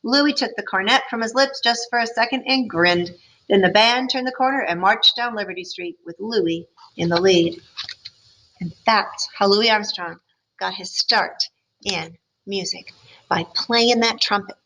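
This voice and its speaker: Bright-voiced teacher reading a storybook. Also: female voice, mid-range voice, a little slow, slight background noise, rough recording. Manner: expressive, chatty asides to listeners. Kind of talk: storybook read-aloud with comments.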